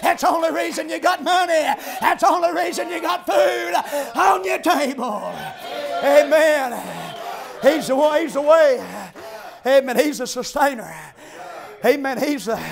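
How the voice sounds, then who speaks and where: A man preaching a revival sermon in a church, shouting in a chanting cadence and punctuating every phrase with "amen" and a rhythmic "ah.". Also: very high voice.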